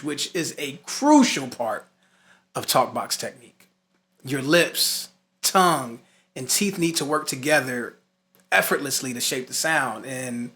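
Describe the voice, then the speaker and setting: A man's voice: medium pitch, sharp tone. A man giving an instructional lesson, moving between scripted points and casual, emphatic asides. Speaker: music instructor.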